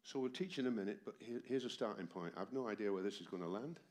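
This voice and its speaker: A man's voice: lowish voice, fast talker, a little mellow. A man in his seventies preaching a sermon to a congregation, with a voice tired from a cold and a habit of asking the room rhetorical questions. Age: 70s. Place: church hall with a congregation. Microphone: amplified microphone.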